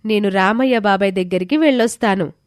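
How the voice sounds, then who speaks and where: A woman's voice: medium pitch, a little fast, no echo, clean studio sound, lightly acted. A woman voicing a polite, earnest, reserved village girl in a scripted moral story.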